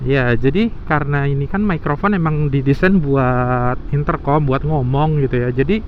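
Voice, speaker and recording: A man's voice: mid-range voice, moderate pace. A man with speech, chatting casually and thinking aloud to viewers as a motovlogger, recorded on a helmet intercom microphone. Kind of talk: casual vlog chat to viewers. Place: outdoors, riding a motorbike. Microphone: intercom microphone inside helmet. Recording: dull helmet intercom mic, loud traffic noise.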